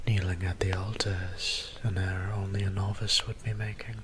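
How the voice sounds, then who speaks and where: A brooding vampire priest, played by a man, speaks in a soft, breathy near-whisper. His voice is low and very even, with formal, old-fashioned diction suited to an intimate audio drama. Ambient noise sits behind him. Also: natural voice. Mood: weary, self-reproachful. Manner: archaic, formal, measured diction. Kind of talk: scripted intimate roleplay audio drama monologue.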